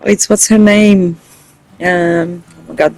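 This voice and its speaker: Slow, lowish-voiced woman gamer. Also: steady tone, a little mellow, soft background music, low-quality recording. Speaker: gamer streaming a playthrough. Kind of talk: casual let's play commentary.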